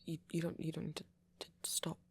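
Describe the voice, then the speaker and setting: A man playing a shy, nervous young vampire in an ASMR roleplay, stammering softly and apologetically with a British accent. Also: on the high side, monotone, heavily acted. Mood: shy, flustered. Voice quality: soft, breathy, often near whisper. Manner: stammering, halting, flustered and apologetic. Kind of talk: ASMR boyfriend roleplay, intimate acting.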